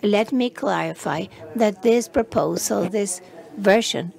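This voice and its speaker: Slow, gravelly woman interpreting live. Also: mid-range voice, darkish tone. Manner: halting, frequent restarts and fillers while rendering speech. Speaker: simultaneous interpreter.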